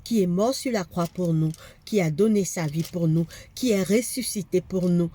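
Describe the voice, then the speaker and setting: A woman's voice: on the low side, moderate pace, mellow. A woman with a cold preaching a fervent sermon, repeating phrases for emphasis. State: has a cold, congested.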